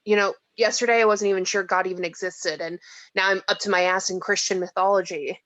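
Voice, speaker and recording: A woman's voice: medium pitch, fairly even intonation, fairly quick, fairly bright tone, no echo, close to an everyday voice. A woman playing an exasperated, sceptical reluctant heroine in an amateur screenplay table read. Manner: wry, exasperated.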